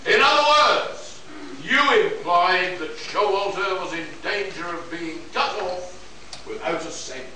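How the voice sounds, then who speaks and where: A man's voice: high voice, expressive, slow pace, sharp tone, exaggerated voice. A man playing a cocky space detective in a pulp radio play, laying out his case in a theatrical, expository way. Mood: smug accusing. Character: cocky male space detective explaining a murder. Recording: echoey hall, distant mic, faint noise.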